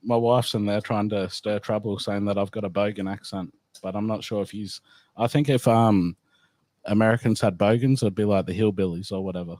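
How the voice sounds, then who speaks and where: A man hosts a loose, casual chat and asks questions in an offhand way, swearing freely. His voice sits on the low side. The sound is dry, with no room around it. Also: fairly even intonation, a little fast, a little mellow, no echo. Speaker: host.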